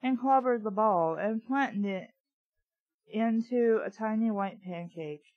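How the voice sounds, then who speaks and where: A woman's voice: fairly high pitch, a little slow, dry recording, dull audio. A woman reading a story aloud, breaking in with blunt, sarcastic, casual asides. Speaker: audiobook reader and commentator.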